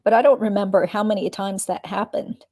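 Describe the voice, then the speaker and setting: Woman playing a deposition witness, dark relaxed timbre, medium pitch, guarded, measured, noncommittal. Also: natural voice. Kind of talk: dramatic reading of a legal deposition.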